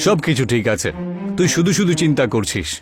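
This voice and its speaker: Man acting determined cartoon boy, quick pace, raised pitch, earnest, reassuring, music underneath. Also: sing-song. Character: determined, hopeful young village boy, caring son. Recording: music behind the voice.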